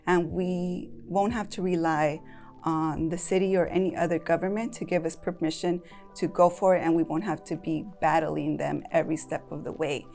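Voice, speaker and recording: Low gravelly-voiced woman. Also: darkish tone. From San Jose, California. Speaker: land trust board president. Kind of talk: interview talk.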